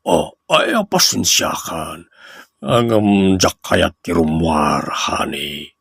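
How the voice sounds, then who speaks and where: A man with a Filipino accent playing an evasive, placating cheating husband in a radio comedy drama, in a husky voice. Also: low voice, big pitch swings, slow, a bit bright, dry recording, clean studio sound, exaggerated voice. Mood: defensive, placating.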